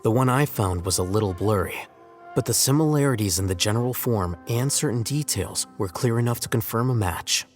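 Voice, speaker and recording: A man voicing a cool, precise male scholar in a video game, with a dry, deadpan manner. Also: on the low side, fairly quick, natural voice. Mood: detached reporting. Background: background music.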